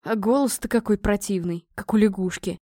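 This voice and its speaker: A scruffy, uncouth beggar man, put on by a woman narrator, speaks in a hoarse bleat. He is cheeky and haggling, as in a storybook, recorded dry. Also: mid-range voice, brisk, warm tone, dry recording, close to an everyday voice. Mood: cheeky, critical.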